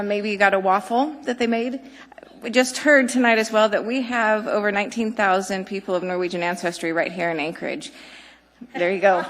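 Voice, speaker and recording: A woman's voice: mid-range voice, fairly bright tone, slight background noise. A woman giving friendly, loosely prepared remarks at a city assembly meeting. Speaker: community presenter at the assembly. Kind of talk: brief public remarks. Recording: long reverb in a council chamber.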